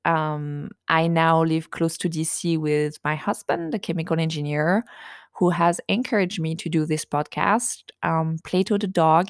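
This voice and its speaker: A woman's voice: fairly low pitch, dry recording, studio quality. A young woman from France with a French accent, hosting her own podcast in a chatty, self-deprecating way with plenty of fillers. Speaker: podcast host. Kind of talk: casual solo podcast.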